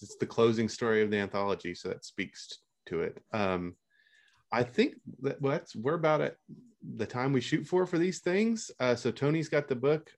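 A male podcast host wrapping up a panel and introducing the next segment, relaxed and slightly hesitant. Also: medium pitch.

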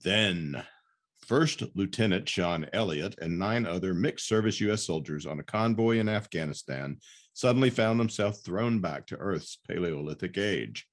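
A man's voice: low-pitched, animated, normal speed. A man hosting a podcast, moving between scripted announcements and casual interview talk. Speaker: podcast host.

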